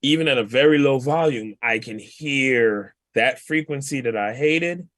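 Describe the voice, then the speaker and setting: A man's voice: medium pitch, a little slow, no echo. Laid-back man explaining mixing steps. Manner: relaxed, explanatory, colloquial. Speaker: guest mix engineer. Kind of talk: casual walkthrough of a mixing session.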